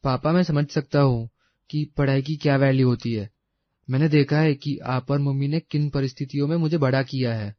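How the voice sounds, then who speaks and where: A man's voice: medium pitch, fairly even intonation, fairly quick, no echo, dull audio, close to an everyday voice. A man playing a polite, earnest young college student in a story drama. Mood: earnest, respectful. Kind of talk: acted Hindi audio story dialogue.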